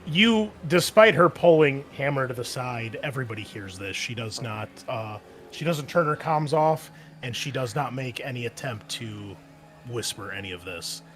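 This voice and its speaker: A man's voice: mid-range voice, animated, normal speed, fairly bright tone, slight background noise. A man running a tabletop game as game master, narrating casually with many fillers.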